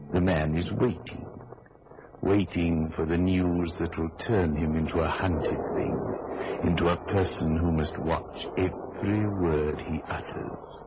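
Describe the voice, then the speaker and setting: Ominous thriller storyteller: deep, slow, suspenseful delivery on old radio with loud score. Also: male voice, poor audio. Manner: measured, suspenseful, portentous. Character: ominous, brooding male thriller narrator. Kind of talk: dramatic scripted narration. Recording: old radio recording under loud music.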